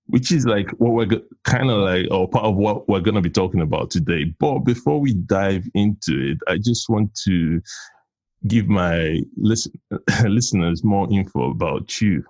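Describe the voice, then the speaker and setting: A man's voice: deep voice, lively intonation, moderate pace, darkish tone, no room sound, lo-fi sound. A man hosting a podcast, talking casually and with enthusiasm to his guest. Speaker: podcast host.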